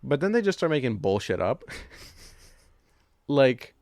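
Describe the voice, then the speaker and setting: A man chats as a podcast co-host in a somewhat low-pitched voice. The sound is dry and close, and his delivery is loose, sweary and informal, with laughter mixed into his speech. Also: very animated, a little slow, darkish tone, no echo. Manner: loose, profane, laughs while talking. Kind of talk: casual chat, film discussion.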